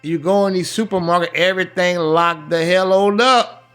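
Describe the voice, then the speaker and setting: A man's voice: sits high, moderate pace, fairly bright tone, faint music behind. An American man preaching in a colloquial, emphatic and repetitive way, reading scripture aloud and adding his own running commentary. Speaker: street-style Bible preacher.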